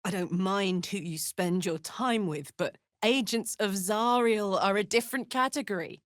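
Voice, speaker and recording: A woman playing a brash, warm-hearted tough warrior in a video game, blunt and sweary with a casual swagger and earnest feeling. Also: medium pitch, normal speed, no echo, clean studio sound, natural voice. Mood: casual, teasing. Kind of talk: video game character voice lines.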